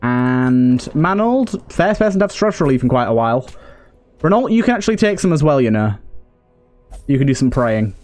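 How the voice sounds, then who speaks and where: A man's voice: medium pitch, very animated, normal speed, a little mellow, soft background music. A man hosting a gameplay video, thinking aloud in short remarks as he gives casual commentary. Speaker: gameplay host.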